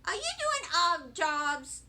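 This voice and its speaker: A woman voicing a feisty, stubborn, excitable kindergarten girl in a children's storybook, with childish, emphatic phrasing. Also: extremely high pitch, slow, a little hiss or hum, voice pushed up, exaggerated voice. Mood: curious, eager. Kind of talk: children's storybook read-aloud.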